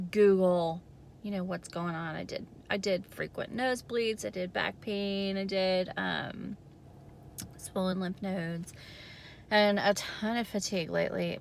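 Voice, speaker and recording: A woman giving a casual video diary update in a hoarse, raspy voice, hesitant and rambling with lots of fillers. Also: fairly low pitch, a little hiss or hum. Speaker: vlogger giving a health update.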